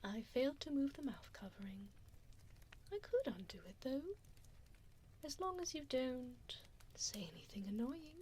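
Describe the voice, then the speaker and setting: A woman playing a cruel, haughty vampire hostess in an ASMR roleplay, speaking in breathy whispers and soft voice with condescending, formal diction, close to the microphone. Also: high voice, big pitch swings, measured pace, long pauses, slight background noise. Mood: mocking, controlling. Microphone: close binaural microphone.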